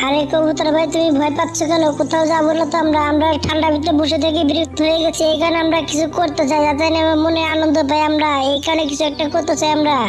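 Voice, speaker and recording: A woman's voice: high-pitched, little pitch movement, quick pace, hardly any pauses, natural voice. A woman voicing chatty, fretful female birds in an amateur cartoon, with an insistent manner. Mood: chatty, eager. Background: music.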